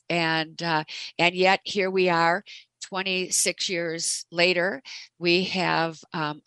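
A middle-aged woman hosting a radio money show, introducing her guest in an unhurried way with many fillers. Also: fairly low pitch, a bit bright, no echo, rough recording. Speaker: radio show host and wealth advisor.

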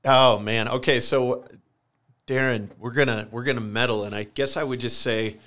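A man's voice: mid-range voice, fairly even intonation, moderate pace, fairly bright tone. An American man in his forties from Michigan talks candidly about his own life in an interview, earnest and confessional, repeating phrases for emphasis. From Michigan, United States. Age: 40s. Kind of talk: candid personal testimony in a conversational interview.

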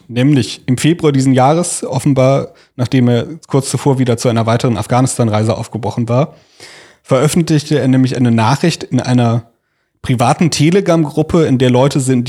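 A man's voice: mid-range voice, mellow. A man telling a story as a podcast host in an informal, conversational way. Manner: informal, colloquial. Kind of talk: conversational storytelling, reading out quotes.

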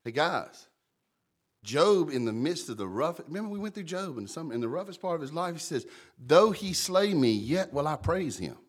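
A man, a pastor preaching a lively, conversational sermon with a big voice, calling out members of his church by name. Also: fairly high pitch, moderate pace.

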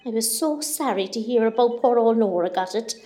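A woman's voice: on the high side, a little fast, warm tone, lightly acted. A woman playing a nervy, cheeky, gossipy hospital patient with a West Cork Irish accent in an amateur radio comedy. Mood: gossipy, exclaiming. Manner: chatty, exclaiming, dramatic, muddles her words.

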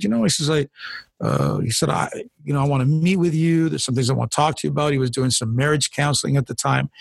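A man's voice: on the high side, creaky, dry recording. A middle-aged man, a pastor, earnestly telling his life story in a conversational interview, often restarting and repeating words as he goes. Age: 40s or older. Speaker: pastor and guest. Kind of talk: personal testimony in a conversational interview.

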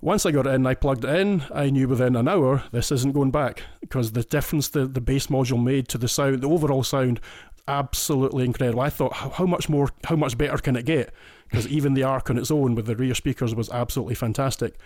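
A man's voice: mid-range voice, fast, darkish tone, dry recording, studio quality. A Scottish man, a listener guest on a podcast, talking through his setup in casual, detailed and enthusiastic chat, often restarting his phrases. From Scotland.

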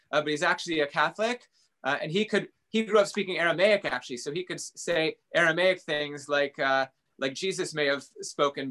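A man's voice: fairly high pitch, bright, forceful tone. A middle-aged man, a rabbi, chatting casually about interfaith ties, full of fillers and restarts. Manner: halting, many fillers and restarts.

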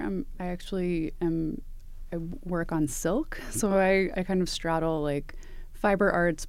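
A woman in her thirties with a low, mellow voice, answering an interviewer's questions about her art. She talks thoughtfully, pausing with ums and restarting her sentences. The sound is dry and close. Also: gravelly, no echo. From East Tennessee, USA. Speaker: artist and academic advisor.

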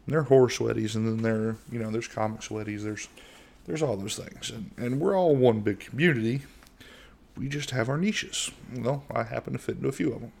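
A middle-aged man from the United States hosting a casual solo podcast in a monotone voice, rambling with lots of fillers and restarts. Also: medium pitch, fairly quick, gravelly. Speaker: podcast host.

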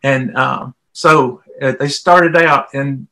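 A man's voice: medium pitch, steady tone, slow pace, bright, forceful tone. A middle-aged man with a Southern US accent, telling personal stories in a folksy, conversational way as an interview guest. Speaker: volunteer interviewee.

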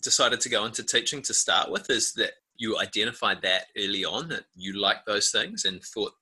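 Upbeat New man in his thirties, podcast host, low voice with a bright edge. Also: animated, normal speed, breathy edge. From New Zealand. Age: 30s. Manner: upbeat and friendly, asks questions. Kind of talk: podcast interview.

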